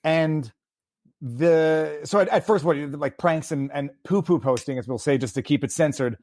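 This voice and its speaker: A man's voice: a little high, moderate pace, a little mellow. A man from Quebec hosting a livestream interview, chatty and rambling, firing off many questions. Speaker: host.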